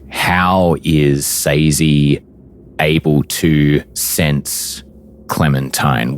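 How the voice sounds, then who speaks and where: Super deep guy game master, real slow dramatic storytelling, bit of background noise. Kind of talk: atmospheric tabletop game narration.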